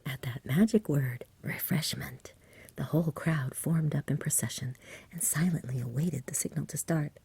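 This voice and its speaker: Woman, soft ASMR story reading, very breathy whisper, low hushed pitch, gentle, unhurried, close mic. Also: dry recording. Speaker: storybook reader.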